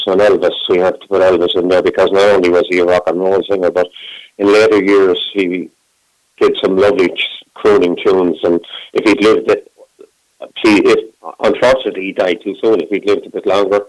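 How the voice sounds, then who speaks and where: Brief: low-pitched middle-aged man on the phone, dry sound, rambling guest chat. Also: no echo, low-quality recording. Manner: rambling, warm self-talk with many restarts. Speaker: singer, interview guest. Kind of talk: casual phone interview.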